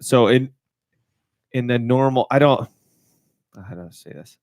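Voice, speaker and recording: A man's voice: medium pitch, measured pace, darkish tone, no echo. A man co-hosting a casual podcast, telling long rambling stories, swearing freely and laughing often. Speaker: podcast co-host.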